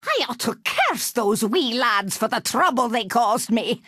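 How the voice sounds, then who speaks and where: A woman's voice: a little high, sing-song, measured pace, fairly bright tone, slightly rough, no echo, studio quality, full character voice. A woman voicing a crotchety, scheming old witch for a video game, with a Scottish accent and a theatrical, scolding, mock-sweet manner. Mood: grumbling, menacing. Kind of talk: animated video game voice acting.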